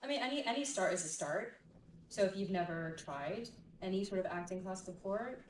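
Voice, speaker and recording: A woman's voice: mid-range voice, lively intonation, normal speed, slight background noise. A woman voice actor on a convention panel, thoughtfully explaining her craft through examples. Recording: echoey hall, mic from far off.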